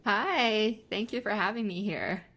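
A woman's voice: mid-range voice, unhurried, fairly bright tone. A woman in her thirties from China, chatting candidly and casually as an interview guest on a video call. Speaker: guest, photographer. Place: indoor room, remote video call.

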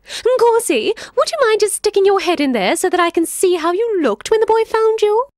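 A woman voicing a tricky male jackal who talks in a fast, fawning and giggly way in a storybook. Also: very high voice, expressive, no room sound, studio quality, pitched above the performer's own voice. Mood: sly. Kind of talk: storybook read-aloud.

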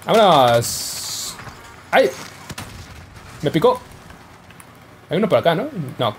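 A man's voice: on the high side, very animated, slow, slight background noise. A young man giving casual, joking commentary over his gameplay. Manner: playful, jokey, yelps and laughs while playing. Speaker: gaming YouTuber.

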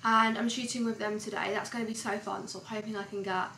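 A woman's voice: medium pitch, fairly even intonation, quick pace, creaky. A young woman chatting to a handheld camera in a casual vlog, full of fillers like "like". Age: young adult. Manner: chatty, filler-heavy, lots of "like". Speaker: vlogger. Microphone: handheld camera close to the face. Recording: loud background noise.

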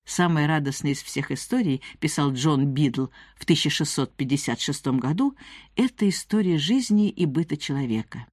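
A woman narrating a nonfiction audiobook, reading from a script with measured, articulate phrasing. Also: low voice, expressive, a little mellow, no echo, clean studio sound.

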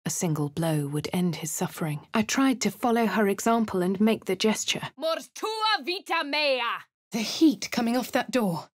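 An actress with a posh English accent voicing a plucky female adventurer hero for a video game, crisp and well-spoken, close to a studio mic. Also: on the high side, sing-song, normal speed, no room sound. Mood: incanting. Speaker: player character.

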